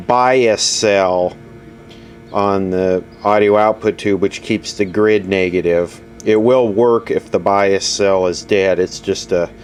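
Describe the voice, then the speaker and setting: A man's voice: lowish voice, darkish tone. A man giving a casual, unscripted, matter-of-fact repair demonstration at a workbench, recorded on a camera microphone. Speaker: vintage radio repair hobbyist presenting. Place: indoor workshop at a workbench. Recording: noisy amateur camera audio.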